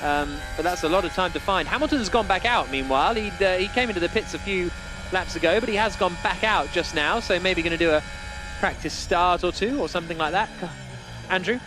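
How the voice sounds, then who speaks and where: A man's voice: sits high, fairly quick, music in the background. A man hosting live motorsport radio commentary with casual, jokey banter, often correcting himself.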